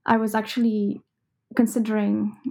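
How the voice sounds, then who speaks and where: A young woman composer, raised speaking Vietnamese, answering interview questions thoughtfully with many pauses and fillers. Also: medium pitch, level tone, creaky, warm tone. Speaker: composer guest.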